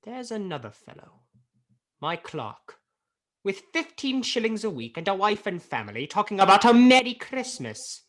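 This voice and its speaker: Bitter old male skinflint, played by an actor for radio drama; emphatic, contemptuous, widely varied pitch. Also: big pitch swings, moderate pace, a bit bright. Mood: exasperated. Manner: scornful, emphatic, theatrical. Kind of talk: radio play acting.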